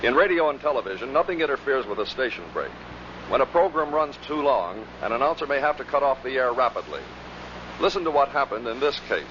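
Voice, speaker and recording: A man's voice: mid-range voice, sing-song, fairly quick. A man giving scripted narration as the presenter of a compilation. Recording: muffled, noisy vintage radio recording.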